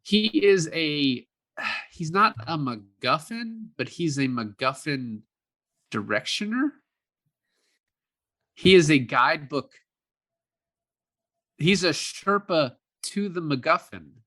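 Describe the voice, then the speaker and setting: A man's voice: on the high side, expressive, slow, long pauses, sharp tone. A man co-hosting a casual film review podcast, chatting in a jokey way. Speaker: podcast co-host.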